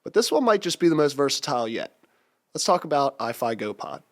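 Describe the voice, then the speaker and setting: A young man with a congested, stuffy voice, briskly presenting an upbeat scripted audio product review. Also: a little high, big pitch swings.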